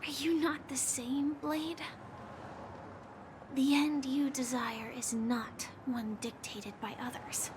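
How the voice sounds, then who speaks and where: A woman voicing a gentle, wistful young woman warrior in a video game, speaking solemnly and often in a hushed, breathy tone. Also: high voice, steady tone, slow, noisy background. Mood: solemn, resigned. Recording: loud music behind the voice.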